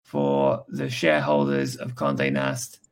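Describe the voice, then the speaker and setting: An English man with a croaky voice, talking in a casual group voice chat as a Reddit moderator and stumbling over restarts. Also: mid-range voice, very animated. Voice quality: croaky, gravelly voice. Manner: halting, restarts often.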